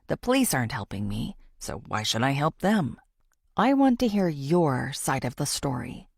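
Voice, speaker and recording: A woman's voice: sits low, creaky, darkish tone, breathy edge, no echo, clean studio sound, exaggerated voice. A woman voicing an earnest, theatrical female true-crime podcaster who confides in her listeners in an audiobook. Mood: pleading, insistent. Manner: confiding, theatrical, deliberate pauses.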